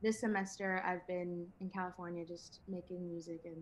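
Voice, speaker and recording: A woman's voice: on the low side, little pitch movement, moderate pace, a little hiss or hum. An eighteen-year-old woman from Venezuela, a singer-songwriter guest chatting in an interview, laughing often and talking with many fillers and restarts. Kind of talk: casual interview chat.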